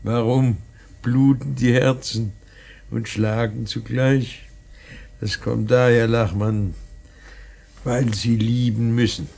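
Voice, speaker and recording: A man's voice: medium pitch, fairly even intonation, takes it slowly, vocal fry, pauses often, a little mellow, slightly rough, heavily acted. An actor playing a solemn, tender old father in mourning in a radio play, ponderous and philosophical, repeating his words. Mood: solemn, sorrowful. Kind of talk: German radio play acting.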